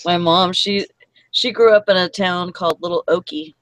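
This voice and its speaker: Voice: mid-range voice, steady tone, moderate pace, fairly bright tone, dry recording. A speaker chatting casually and telling a story about family. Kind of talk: casual group chat.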